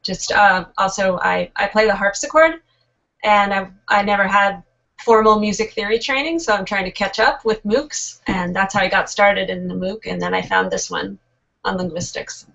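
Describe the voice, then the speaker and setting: A woman's voice: medium pitch, moderate pace, creaky, a bit bright. A woman from California with a Californian accent, a student talking casually in an online discussion over a video call. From California, United States. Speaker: online course student, librarian. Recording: muffled lo-fi video call audio.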